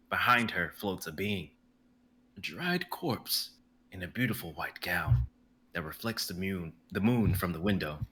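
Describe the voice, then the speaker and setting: A young man narrating the stage directions of a dramatic reading in a steady, descriptive way. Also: low voice, husky. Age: young adult.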